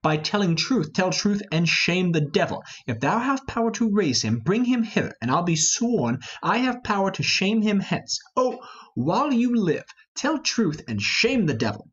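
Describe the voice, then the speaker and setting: A man's voice: high voice, very animated, normal speed, husky, muffled recording, full character voice. A man playing a hot-headed, mocking young rebel nobleman in a Shakespearean play reading, scoffing and impatient.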